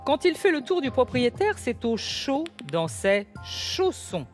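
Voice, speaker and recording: A woman's voice: high voice, big pitch swings, unhurried, soft background music. A woman in her sixties hosting a word game show, reading clues with emphasis and laughing often. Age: 60s.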